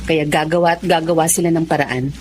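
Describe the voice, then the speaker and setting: An actress playing a wronged, resolute wife who stands up for herself in a Filipino melodrama. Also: female voice, sits low, steady tone, fast, slight background noise, lightly acted. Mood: resolved, wounded.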